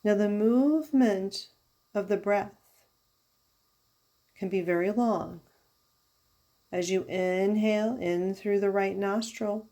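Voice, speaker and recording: A woman leading a guided yoga nidra meditation as a teacher, giving soothing, unhurried instructions with pauses. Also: medium pitch, dark tone. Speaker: yoga therapist guiding a meditation.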